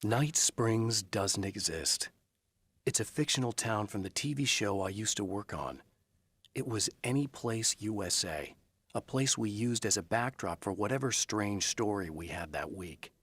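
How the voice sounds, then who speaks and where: Tired serious writer hero in a game, raspy-ish husky voice, very dry sound, grave and intense. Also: male voice, lowish voice, expressive, a little slow, fairly bright tone, no echo, exaggerated voice. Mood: brooding. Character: weary, serious male writer turned hunted thriller hero. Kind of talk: video game acting, brooding monologue and terse dialogue.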